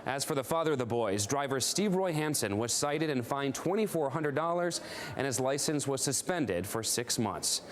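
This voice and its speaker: A man's voice: medium pitch, expressive, moderate pace. A man narrating a scripted investigative news report as a television reporter.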